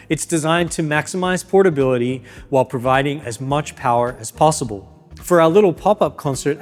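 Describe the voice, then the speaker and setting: A man presenting an upbeat, clearly explained review and setup of a portable loudspeaker. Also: on the high side. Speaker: product reviewer. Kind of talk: gear review and unboxing.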